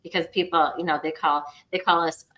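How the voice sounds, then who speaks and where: Bright-voiced chatty woman. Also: deep voice, steady tone, a little fast. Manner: chatty, laughs often, repeats phrases. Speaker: podcast guest. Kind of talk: casual podcast chat.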